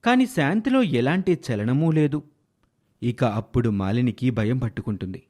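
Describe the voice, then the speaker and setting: Casting: male narrator for moral tales, medium pitch, very animated, dramatic delivery. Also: moderate pace. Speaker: storyteller. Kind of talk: scripted moral story narration.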